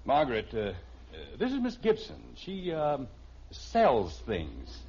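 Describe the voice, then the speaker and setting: Flustered sitcom dad, pitch swooping wildly. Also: male voice, huge pitch swings, slow pace, darkish tone, breathy edge, heavily acted. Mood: embarrassed. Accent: American. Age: middle-aged. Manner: stammering, hesitant. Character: flustered, sheepish family father trying to explain himself. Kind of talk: 1950s radio sitcom acting. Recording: muffled old-time radio recording.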